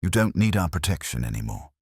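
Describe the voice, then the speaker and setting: Male narrator as cerebral storybook detective, grave, deliberate, extremely low pitch, expressive intonation. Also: husky, no room sound, clean studio sound, heavily acted. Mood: quiet, reflective. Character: brooding, cerebral gentleman detective. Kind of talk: audiobook character reading.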